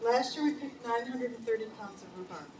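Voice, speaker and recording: A woman volunteer giving informal remarks at a meeting, and she says she has a loud voice. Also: on the high side, a little fast, slight background noise. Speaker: volunteer jam maker. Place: meeting hall. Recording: echoey, distant room recording.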